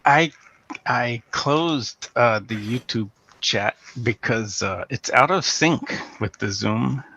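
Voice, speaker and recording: A man calling in over a video call, talking haltingly with lots of fillers. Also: medium pitch, expressive, vocal fry, pushed and bright, muffled recording. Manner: halting, hesitant, many fillers. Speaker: caller. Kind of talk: casual call-in chat.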